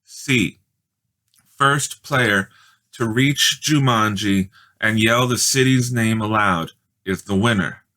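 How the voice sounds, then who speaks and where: A man voicing a bossy, determined young girl, an older sister in a storybook, with emphatic, deliberate delivery. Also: lowish voice, bright, forceful tone, rough recording. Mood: bossy, instructive.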